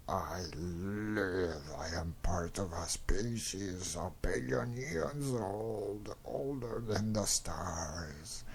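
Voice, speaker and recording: Robot villain in thrall to a god, male actor: breathy, deep, dragging, ominous delivery for comic-book drama. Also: full character voice. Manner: slow, ominous, reverent. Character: sinister slow robot villain enslaved to a god. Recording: clearly noisy recording.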